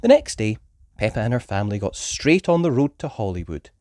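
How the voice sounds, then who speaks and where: A woman reading a children's story aloud as an expressive storyteller. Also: very deep voice, brisk, no echo, studio quality.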